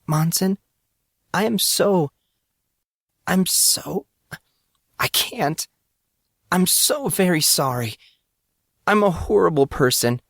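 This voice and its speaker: A man voicing an earnest, embarrassed teenage schoolgirl in audiobook dialogue, sometimes halting. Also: high voice, expressive, gravelly, a bit bright, no room sound, clean studio sound, voice pushed up, full character voice. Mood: distraught, apologetic. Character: remorseful, embarrassed teenage schoolgirl who loves singing.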